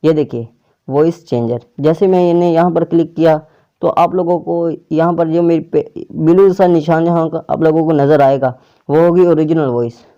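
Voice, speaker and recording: Man, tech tutorial host, slightly high pitch, soft-edged tone, step-by-step instructions, muffled lo-fi recording. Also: fairly even intonation, moderate pace. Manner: step-by-step instructions addressed to viewers. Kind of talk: casual screen-recording app tutorial.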